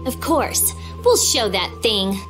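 A woman's voice: on the high side, big pitch swings, a bit bright, faint music behind, heavily acted, low-quality recording. A woman voicing a prickly but secretly affectionate teenage girl researcher in a video game, blunt and awkward. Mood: guarded, sincere. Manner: blunt, halting when embarrassed. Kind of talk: video game cutscene acting.

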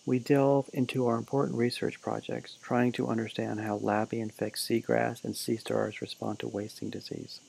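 Deadpan man narrating a mock documentary. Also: mid-range voice, moderate pace, creaky, hardly any pauses, husky. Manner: deadpan, listing roll call. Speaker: narrator of a class parody film.

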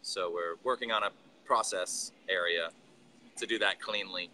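Brewery owner in his thirties on a video call; bright, low voice, loose halting delivery. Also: slight background noise. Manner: relaxed, halting, with restarts. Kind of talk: casual interview on a video call. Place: brewery building, indoors. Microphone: video call, phone or laptop camera.

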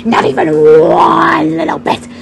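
A woman's voice: on the high side, sing-song, unhurried, gravelly, a bit bright, slight background noise, full character voice. A woman playing a furious, tyrannical father, scolding emphatically in an amateur game roleplay. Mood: threatening.

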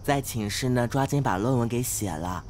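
A man's voice: mid-range voice, moderate pace, a little hiss or hum, natural voice. A young man acting a mild, earnest, bookish male student in a radio drama. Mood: mild, caring. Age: young adult.

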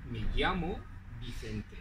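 A man in his forties from Zaragoza, with a Spanish accent, acting out a slow, teasing teaching dialogue outdoors on a clip-on mic. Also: medium pitch, animated, recorded from a distance, background noise. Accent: Spanish from Aragon. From Spain. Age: 40s. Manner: teasing, drawn-out emphasis. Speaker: language teacher in a scripted dialogue. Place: outdoors in front of a green wall.

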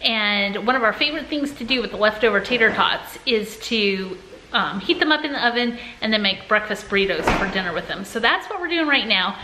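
A woman's voice: medium pitch, animated, brisk. An American woman in her forties chatting casually to viewers as a vlogger. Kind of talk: casual vlog chat. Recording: noticeable background ambience and noise.

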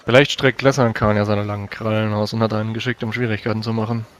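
Cautious fantasy adventurer played by a man, fairly low voice, fast talking, sober, informed. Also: level tone, natural voice. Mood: concerned. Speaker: player in a tabletop roleplaying game.

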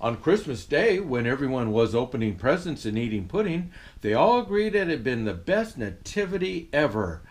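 An older man playing Santa reads a children's story aloud in an expressive, jolly manner. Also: medium pitch, normal speed, hardly any pauses. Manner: expressive, jolly delivery with chuckles. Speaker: storyteller dressed as Santa Claus. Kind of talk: animated storybook reading for children.

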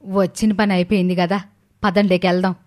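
A woman's voice: fairly low pitch, flat delivery, fairly quick, darkish tone, close to an everyday voice. A woman playing a haughty, scornful daughter-in-law in a cartoon, with a sneering manner. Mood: scornful, blunt.

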